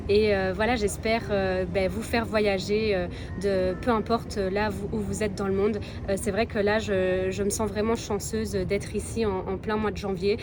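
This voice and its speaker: A woman's voice: medium pitch, flat delivery, normal speed, hardly any pauses, music in the background. A woman from Belgium, chatting casually to viewers as a travel vlogger. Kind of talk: casual vlog chat to viewers.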